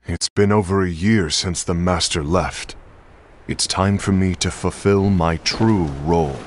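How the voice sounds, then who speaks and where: An actor playing an earnest, defiant warrior disciple with measured, dramatic intensity in a game cutscene. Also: male voice, low-pitched, fairly even intonation. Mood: solemn resolve. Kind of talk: cinematic game cutscene acting.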